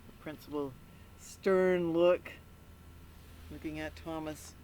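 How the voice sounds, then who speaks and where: A woman reading a picture book aloud to children in an animated storytelling style, pausing to put questions to the listeners. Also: low-pitched, slight background noise, rough recording. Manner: interactive, prompts listeners with questions. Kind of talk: animated read-aloud with asides to young listeners.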